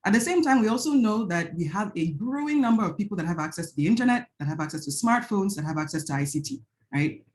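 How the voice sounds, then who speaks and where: A woman's voice: medium pitch, expressive, quick pace, few pauses, darkish tone. Nigerian woman presenting research on a video call. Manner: earnest, frequent "right?" tag questions and restarts. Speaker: panel speaker, researcher. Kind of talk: academic panel presentation.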